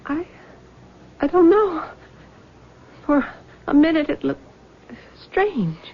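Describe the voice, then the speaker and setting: High-voiced, tormented young woman. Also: lively intonation, full character voice. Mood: dazed. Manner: halting, anguished. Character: frightened, tormented young woman haunted by a dead suitor. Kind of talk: 1970s radio drama acting. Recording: dull, lo-fi 1970s radio recording.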